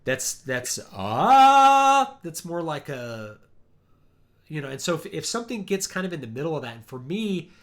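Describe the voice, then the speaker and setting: A man hosting a casual chat, talking in a rambling, animated way and acting out quotes, his deep voice worn because he is sick. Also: unhurried. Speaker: livestream host. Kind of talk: casual chat about music.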